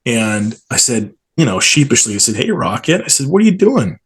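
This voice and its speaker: A man's voice: mid-range voice, very animated, normal speed, slightly rough. Man reminiscing with enthusiasm. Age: 40s. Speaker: stadium PA announcer, interview guest. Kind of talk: casual storytelling in an interview.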